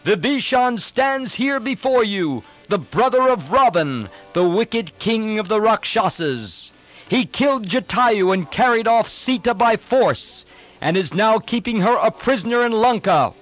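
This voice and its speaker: A man voicing a noble, courageous demon prince who declaims calmly, clearly and earnestly in an epic story. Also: high-pitched, measured pace, soft background music, pitched above the performer's own voice, rough recording. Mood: earnest, resolute.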